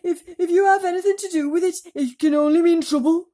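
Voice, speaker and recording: A woman's voice: extremely high pitch, fast, mellow, voice pushed up. A woman voicing a gloomy, pessimistic male earthworm in a storybook.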